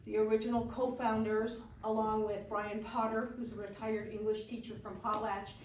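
A woman in her sixties, a retired librarian, giving an animated public talk in a community hall. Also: a little high, moderate pace, a little hiss or hum. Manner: lively, animated storytelling reading. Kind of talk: public talk reading aloud. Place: large community hall, indoors. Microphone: far camera. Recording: roomy hall recorded from far off.

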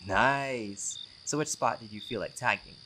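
A man's voice: mid-range voice, a little slow, background noise, lightly acted. A man playing a laid-back, charming, centuries-old vampire who teases and jokes casually with a friend in an audio roleplay. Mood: easygoing, intrigued. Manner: joking, teasing, often chuckling.

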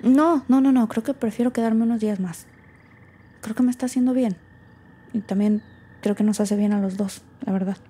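A woman's voice: mid-range voice, steady tone, normal speed, warm tone, slightly rough, natural voice. A woman playing an anxious, defensive daughter in a naturalistic audio drama, with a Mexican accent and a colloquial, hesitant, emotional delivery. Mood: confused, defensive. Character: anxious, defensive daughter unravelling family secrets.

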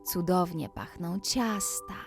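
A woman narrating a scripted children's bedtime story, speaking deliberately and addressing the young listener directly. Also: on the low side. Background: music.

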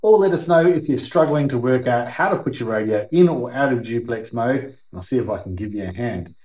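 A man's voice: mid-range voice, expressive, brisk, a little mellow. A middle-aged Australian man giving a conversational, emphatic, plain-spoken explainer to camera as a video host. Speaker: YouTube host. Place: indoor workshop or garage. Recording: roomy, echoing indoor sound.

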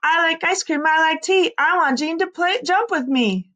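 A woman's voice: very high-pitched, normal speed, a bit bright, voice pushed up. A woman voicing a tiny, sassy, cheerful new schoolgirl in a children's storybook, in a playful singsong chant.

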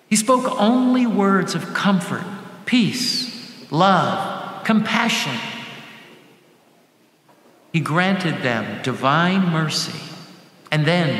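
An older man preaching a sermon as a deacon, speaking deliberately in short phrases with dramatic pauses. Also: a little high, a bit bright. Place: large church sanctuary, at the lectern. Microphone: gooseneck lectern microphone. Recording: echoey church hall with faint background noise.

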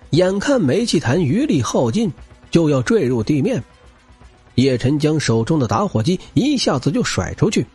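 A man's voice: fairly high pitch, darkish tone, breathy edge, no room sound. A man narrating action fiction as a scripted audiobook, with a dramatic, theatrical and intense delivery.

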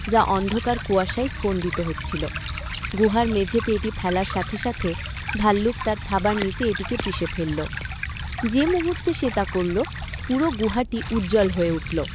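Male storyteller, notably high voice, scripted kids' cartoon narration, clear water noise behind. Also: normal speed.

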